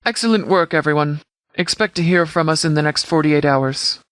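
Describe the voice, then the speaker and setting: A man voicing a stern military commander in a video game, speaking formally and with measured authority as he briefs. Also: fairly high pitch, a bit bright, no echo, clean studio sound, natural voice. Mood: grim, resolute. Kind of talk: scripted game dialogue, mission briefing.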